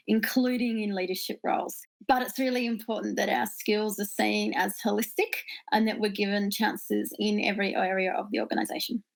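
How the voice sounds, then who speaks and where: A woman's voice: medium pitch, gravelly. A woman speaking as a panelist, making her points in a firm, direct way. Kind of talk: panel discussion answers.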